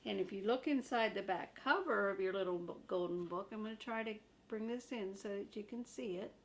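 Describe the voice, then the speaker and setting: An older woman reading a children's book aloud, chatting to young listeners in simple, gentle phrases. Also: medium pitch, brisk, a little hiss or hum. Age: older, a grandmother.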